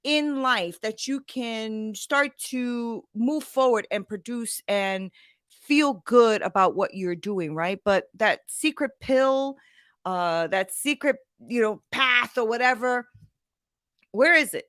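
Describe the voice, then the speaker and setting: A woman's voice: on the high side, expressive, takes it slowly, fairly bright tone, clean tone, no echo, clean studio sound. A woman in her forties hosting a conversational motivational monologue, emphatic and blunt, with slangy phrasing and frequent rhetorical check-ins. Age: 40s. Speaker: podcast host and coach.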